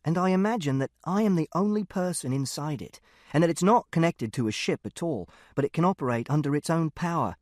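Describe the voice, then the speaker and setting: Audiobook lead: man playing a literal, earnest teen boy, precise, lightly pitched, dry studio sound. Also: expressive, brisk, darkish tone, no echo, natural voice. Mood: earnest, matter-of-fact. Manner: matter-of-fact, precise, earnest. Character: literal-minded, earnest teenage boy detective, narrating. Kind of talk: first-person audiobook narration.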